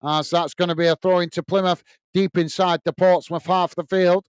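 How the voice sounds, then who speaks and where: A man's voice: sits high, level tone, fairly quick, clear, resonant tone, dry recording, studio quality. Man with a strong Lancashire accent. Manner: chatty, reads out chat messages with filler "uh". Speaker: watch-along host and commentator. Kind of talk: live football commentary and chat reading viewer comments.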